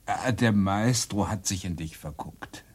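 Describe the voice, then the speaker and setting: A man's voice: low-pitched, unhurried, a little mellow, breathy edge. A man acting a flustered, wry university lecturer in a radio play, stammering and conversational, with theatrical delivery. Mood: wry, teasing.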